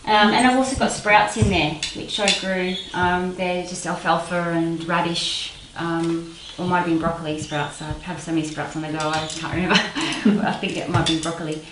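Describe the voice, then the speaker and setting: Woman, thirties, relaxed home-cook demo, roomy kitchen, dish clatter behind. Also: on the low side, normal speed, hardly any pauses, distant mic, noisy background. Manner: hesitant, many ums, relaxed. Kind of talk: casual cooking demonstration. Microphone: far camera mic. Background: clattering dishes and cutlery.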